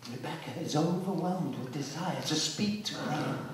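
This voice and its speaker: A man performing as a grave narrator of a dark tale, with deliberate, measured delivery and dramatic pauses in a live stage drama. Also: a little high, expressive, natural voice. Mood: tense. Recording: very echoey, distant, noisy live stage recording.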